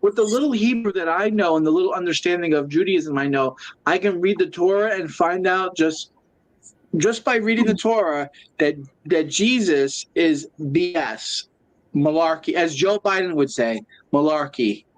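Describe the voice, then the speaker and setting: A man with a high voice who talks in a loose, crude way, joking and swearing as he steers the chat. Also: moderate pace, poor audio. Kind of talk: casual chat.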